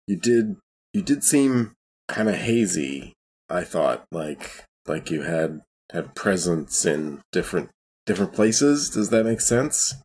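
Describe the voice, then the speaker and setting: A man playing a big, self-doubting man in a tabletop game, speaking hesitantly with a husky voice. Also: low-pitched, big pitch swings, a little mellow, a little hiss or hum, exaggerated voice. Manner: hesitant, halting. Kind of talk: improvised tabletop role-play.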